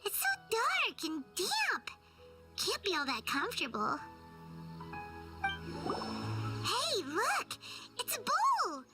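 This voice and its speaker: A tiny, childlike fairy guide from a video game, squeaky and extremely high. The delivery is swooping, exclamatory and devoted, sitting under loud music. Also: female voice, wildly swooping pitch, takes it slowly, full character voice. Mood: curious. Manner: refers to self in third person, exclamatory. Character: small childlike female floating fairy companion, cheerful and devoted. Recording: game audio with loud music, slightly distant.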